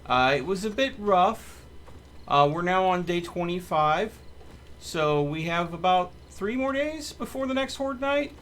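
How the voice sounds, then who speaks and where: A man's voice: high-pitched, lively intonation, unhurried, fairly bright tone, a little hiss or hum. A man hosting a gaming channel, giving casual commentary as he plays. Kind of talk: casual live gameplay commentary.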